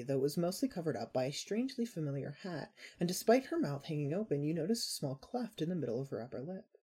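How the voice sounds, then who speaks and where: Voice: medium pitch, dark tone, husky. A podcast narrator reading a scripted second-person story aloud. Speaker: narrator of a fan fiction podcast.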